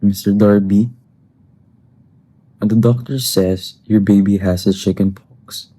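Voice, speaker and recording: A man's voice: fairly low pitch, steady tone, unhurried, warm tone. A man playing a deferential household butler in a stage comedy, speaking with stiff, formal politeness. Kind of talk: theatrical stage comedy acting.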